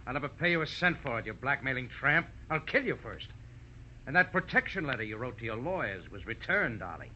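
An actor playing a sneering, menacing murderer in theatrical 1940s radio style. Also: male voice, medium pitch, sing-song, fairly quick, bright, forceful tone. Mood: gloating, menacing. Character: smooth-talking male murderer, sneering and menacing. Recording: dull, faintly noisy old radio recording.

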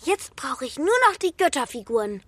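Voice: high voice, expressive, fairly quick, fairly bright tone, slight background noise. An actor playing a playful, imaginative young schoolboy in a children's audio drama.

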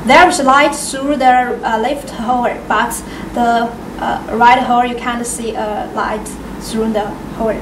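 Female product demonstrator, slightly high pitch, halting non-native delivery, echoey indoor recording with noticeable noise. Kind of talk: product demo presentation. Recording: roomy, distant mic, clear background noise.

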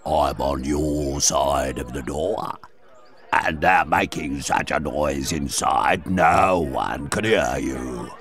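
A gloomy fish footman from a storybook, speaks in an extremely deep, creaking drawl. He is obtuse and ponderous, dragging every phrase out very slowly with big swoops of pitch. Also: fairly bright tone, exaggerated voice. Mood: aloof, droning.

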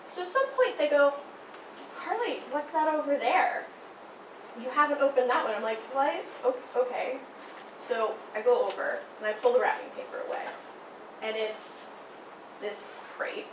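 Lively young woman, roomy distant recording. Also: high voice, normal speed, background noise. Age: young adult. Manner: animated, acts out quoted voices. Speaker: storyteller. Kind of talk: casual personal anecdote. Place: small indoor room by a theatre poster.

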